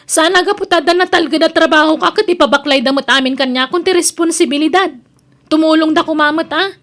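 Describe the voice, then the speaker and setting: A voice actress plays a resentful young daughter in an Ilocano family radio drama. Her voice is high and nagging. She has an Ilocano accent and sounds fed up with her relatives. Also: fast talker, a bit bright. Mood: indignant. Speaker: drama voice actor.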